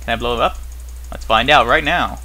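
A man's voice: mid-range voice, brisk, pushed and bright. A man giving casual, unscripted gameplay commentary as host, rambling and thinking aloud with wry, puzzled questions to himself. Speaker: host of a gaming series.

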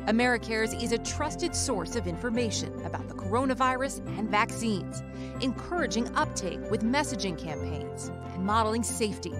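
A woman giving scripted documentary narration in a steady, informative news-style read. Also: a little high. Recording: voice-over with loud music bed. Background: loud music.

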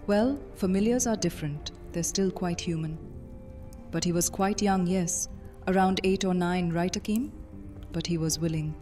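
A woman's voice: on the low side, normal speed, mellow, lightly acted. A woman playing a motherly vampire commander, fond and teasing, in an audio drama. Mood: wistful, fond. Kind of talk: immersive audio drama roleplay. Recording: music behind the voice.

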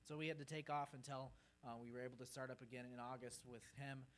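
A man's voice: mid-range voice, steady tone, fast talker, a bit bright. A man in his thirties from Peru, speaking earnestly as a missionary guest to a congregation from the pulpit. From grew up in Peru. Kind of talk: informal presentation to a congregation. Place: church sanctuary, at the pulpit. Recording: faint hum and background noise.

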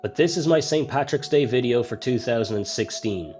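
An Irish man narrates history like a storyteller, measured and solemn, leaning into pauses. His voice sits at an ordinary middle pitch. Quiet music plays underneath. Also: expressive. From Ireland.

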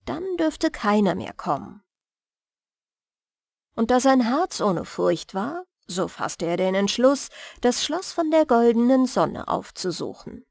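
A woman narrates a bedtime fairy tale in a soothing, measured way. Her voice sits a little high and she takes her time over each phrase. The sound is dry, with no room around it. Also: sing-song, gravelly, darkish tone, dry recording. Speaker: storyteller and audiobook reader.

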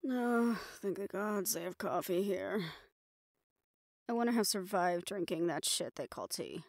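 Woman, mid-pitched, audio roleplay lead; casual, cursing, wry banter, dry close mic. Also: expressive, measured pace, a little mellow, no room sound.

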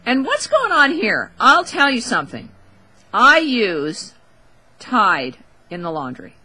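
Bright, higher-voiced woman talk radio host. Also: wildly swooping pitch, slow pace, breathy edge. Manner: wry, conversational, emphatic. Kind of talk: opinionated casual radio monologue.

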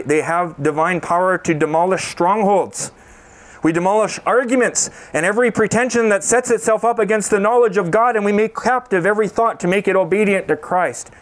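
A man in his forties, a pastor, preaching an earnest sermon into a handheld microphone on a church stage, sometimes with small restarts. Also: high voice. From Canada. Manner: earnest preaching, sings a chorus, gestures while speaking.

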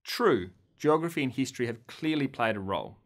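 A man in his thirties from Australia, presenting a scripted lecture to camera in a studio with an upbeat, clear delivery. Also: mid-range voice, animated, fairly quick. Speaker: course lecturer. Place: studio against a green screen.

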